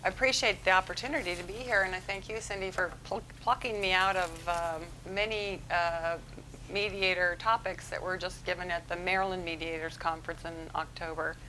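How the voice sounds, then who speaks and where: A woman psychologist giving a conference talk into a room mic, energetic and chatty, often restarting her sentences. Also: on the low side, fairly quick, noisy background. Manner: energetic, chatty, self-interrupting. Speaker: guest speaker, psychologist. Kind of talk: conference presentation. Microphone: handheld mic in a room.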